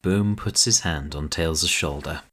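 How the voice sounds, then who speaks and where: Low-pitched male drama narrator. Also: a little slow, fairly bright tone. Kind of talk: scripted story narration for audio drama.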